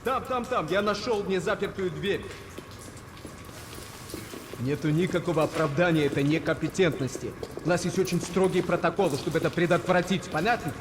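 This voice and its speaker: Soviet officer mole, played by a man, clipped, guarded, slightly raised male pitch, video game drama, noisy ambience. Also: a little fast, lightly acted. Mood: scolding. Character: tense Soviet officer secretly working as a mole. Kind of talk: cinematic video game acting.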